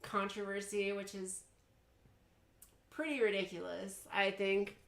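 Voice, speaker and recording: A woman's voice: medium pitch, little pitch movement, unhurried, creaky, a bit bright. A woman streamer in casual chat, rambling and swearing freely. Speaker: streamer host. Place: hot tub.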